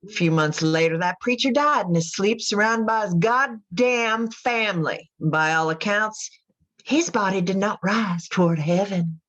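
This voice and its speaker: A woman playing a haunted Southern mother in a radio drama monologue, telling her confession in a folksy, intense, deliberate way. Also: mid-range voice, a bit bright, muffled recording, close to an everyday voice. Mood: haunted, grim.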